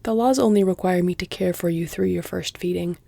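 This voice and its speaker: Woman acting a centuries-old female vampire, audio roleplay, dark mellow tone, very close dry sound, measured, teasing, breathy near-whispers. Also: lowish voice, no room sound. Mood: wry, composed. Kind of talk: intimate audio roleplay, scripted dramatic acting. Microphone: close, dry studio-style mic.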